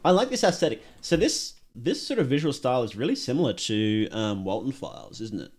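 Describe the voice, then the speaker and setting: A man's voice: medium pitch, very animated, a little fast, a little mellow, breathy edge. A man giving casual live-stream commentary as he reacts to videos at home, rambling and self-mocking and laughing at his own jokes.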